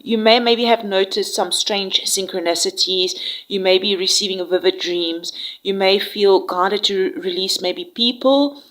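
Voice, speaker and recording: A woman's voice: medium pitch, unhurried, low-quality recording. A woman with a cold giving a soothing tarot reading, slightly nasal, with frequent fillers. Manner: soft, soothing spiritual address with frequent fillers. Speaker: tarot reader.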